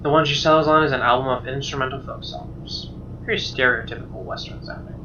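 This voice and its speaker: A man's voice: medium pitch, steady tone, fast, noisy background. A man reading visual novel narration aloud as a streamer, conversational, occasionally stumbling, with casual asides. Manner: conversational, stumbles over read lines. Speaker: let's play streamer reading game narration. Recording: muffled, distant mic. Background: guitar music.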